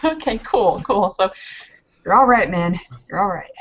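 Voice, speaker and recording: A woman's voice: medium pitch, big pitch swings, unhurried, a bit bright, a little hiss or hum. Woman, tabletop roleplayer. Informal, joking improvised narration in a mid-range voice, lo-fi recording. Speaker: player in a tabletop roleplaying session. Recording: dull lo-fi voice recording.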